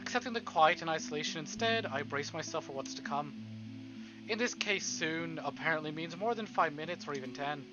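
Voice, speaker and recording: Higher-pitched male streamer, theatrical read-aloud, playful, slightly dull sound, faint guitar music behind. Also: brisk. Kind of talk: dramatic read-aloud of a visual novel with casual asides.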